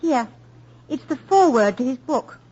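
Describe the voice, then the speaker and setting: An affectionate wife who teases her husband softly, in a fairly high, husky and breathy voice. The sound is dull and lo-fi, like an old radio play. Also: animated, moderate pace. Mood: tender. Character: affectionate, teasing wife of the professor. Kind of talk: 1950s radio drama acting.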